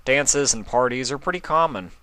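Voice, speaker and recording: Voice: medium pitch, lively intonation, normal speed, pushed and bright, no room sound. Dry, close-sounding storybook narrator. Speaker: fan fiction reader.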